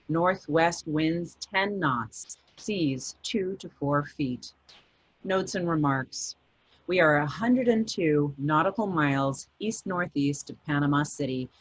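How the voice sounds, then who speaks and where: A woman's voice: low voice, rough recording. A woman reading as a man logging a voyage. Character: man keeping a yacht log, calm.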